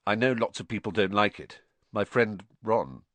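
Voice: deep voice, lively intonation, moderate pace, fairly bright tone, no echo. A performer voicing an earnest, anxious young schoolboy in a storybook, urgent and a little hesitant. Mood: embarrassed. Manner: urgent, hesitant, stammering when upset.

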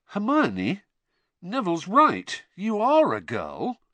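Storybook teen boy, voiced by a man: exasperated, blunt, slangy, very animated, raised pitch. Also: takes it slowly, dry recording, heavily acted. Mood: dawning realisation. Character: exasperated, teasing teenage boy, loud and blunt.

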